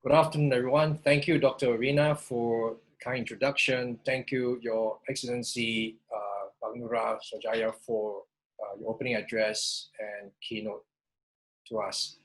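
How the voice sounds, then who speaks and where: A man with a mid-pitched voice hosts a webinar panel as moderator. He is formal and courteous, feeling his way through phrases in a halting manner. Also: flat delivery, low-quality recording. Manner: polite, halting.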